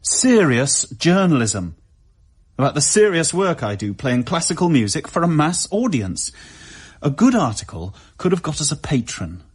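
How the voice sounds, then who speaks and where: In a radio play, an aggrieved, possessive musician. His husky voice swings widely in pitch as he accuses and complains. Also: big pitch swings, moderate pace. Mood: bitter, suspicious. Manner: indignant, accusatory. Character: Tom, aggrieved, possessive busking classical musician. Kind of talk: radio drama acting.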